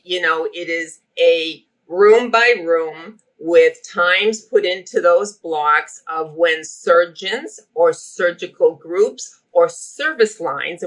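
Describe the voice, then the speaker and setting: A woman's voice: on the low side, a bit bright, rough recording. A middle-aged woman with a Boston accent, talking energetically as a guest on a podcast interview. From Boston area. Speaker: healthcare operations guest.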